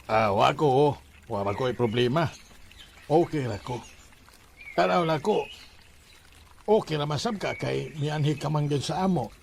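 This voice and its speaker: A reserved, easygoing father in a Cebuano-accented radio drama. He speaks very slowly with a gravelly creak. Light ambient noise runs behind him. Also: on the high side, animated. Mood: calm, accepting. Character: reserved, consenting father of a grown daughter. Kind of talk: Bisaya radio drama acting.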